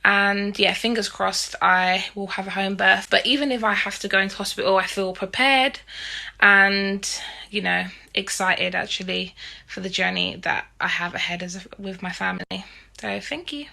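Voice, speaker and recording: Gravelly, bright-toned expectant mum. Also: female voice, medium pitch, steady tone, normal speed. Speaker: expectant mother. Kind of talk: short video testimony.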